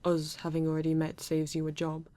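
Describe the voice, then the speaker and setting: A woman voicing a polite, formal male android detective in an ASMR roleplay, with a British accent and stiff, measured phrasing. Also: sits low, monotone, dark tone, natural voice.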